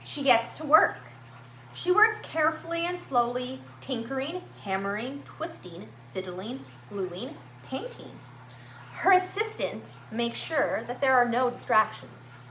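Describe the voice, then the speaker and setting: A woman reading a picture book aloud to young children, with expressive, dramatic emphasis and drawn-out words. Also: high voice. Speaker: children's story-time reader at a library. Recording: roomy echo, faint background noise.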